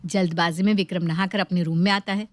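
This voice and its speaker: A woman's voice: lowish voice, fairly even intonation, quick pace. A woman reading scripted narration as the storyteller of a domestic tale.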